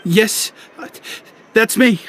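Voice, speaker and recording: A woman's voice: a little high, slow, noisy background. A woman playing a timid underling in a video game, nervous, hesitant and stammering. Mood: nervous, defensive.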